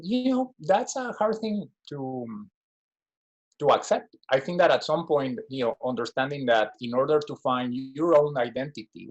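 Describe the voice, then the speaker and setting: A man's voice: mid-range voice, low-quality recording. A man in his forties from Guatemala giving a reflective personal talk as a design professor, full of "you know" fillers and restarts.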